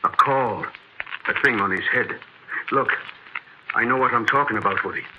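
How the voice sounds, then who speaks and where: An actor playing an earnest, superstitious, folksy pal who talks insistently in a 1940s radio horror drama, on an old broadcast recording. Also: male voice, medium pitch, moderate pace, heavy background noise, lightly acted. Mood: urgent, insistent.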